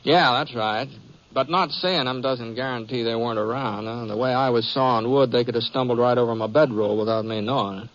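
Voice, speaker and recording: A man's voice: mid-range voice, fairly even intonation, brisk, a bit bright, lightly acted. Man acting a laconic cowboy on muffled old radio. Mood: easygoing, folksy. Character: easygoing, watchful western cowboy gunman, adult man. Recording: muffled, noisy vintage radio recording.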